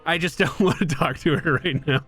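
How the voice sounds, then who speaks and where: A man's voice: on the high side, moderate pace, fairly bright tone, breathy edge, soft background music. A man streaming a video game, giving casual play-by-play commentary on his own moves with asides to viewers. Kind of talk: live gameplay commentary, casual.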